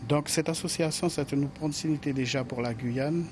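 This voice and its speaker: A man's voice: a little high, moderate pace, a little mellow, a little hiss or hum. A man giving an earnest interview, with some hesitations.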